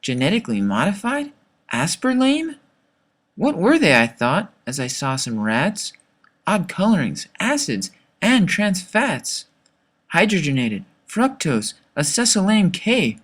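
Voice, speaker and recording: Guy reading a rhyming kids book, kinda high voice, really dramatic and swoopy. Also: unhurried. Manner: dramatic, expressive storytelling. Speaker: author reading own children's book. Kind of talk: rhyming children's storybook narration.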